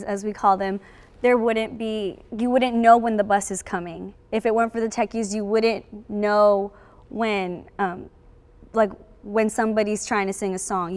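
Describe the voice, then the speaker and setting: A teenage young woman, a student actor, explaining things casually in an interview. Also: medium pitch. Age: teens.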